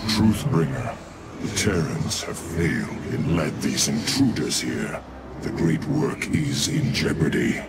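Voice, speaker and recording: An actor playing a zealous alien warlord who serves a dark god in a sci-fi game, gravelly, grave and intense. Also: male voice, a bass voice, wildly swooping pitch, takes it slowly, very noisy, heavily acted. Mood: grave alarm. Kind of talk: dramatic sci-fi game acting. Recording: loud music behind the voice.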